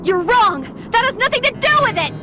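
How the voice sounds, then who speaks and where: Very high-voiced, fierce young rebel. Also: female voice, very animated, fairly quick, music in the background. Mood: outraged. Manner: declamatory, forceful accusations. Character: fierce, indignant young rebel, passionate and accusing. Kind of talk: video game cutscene acting.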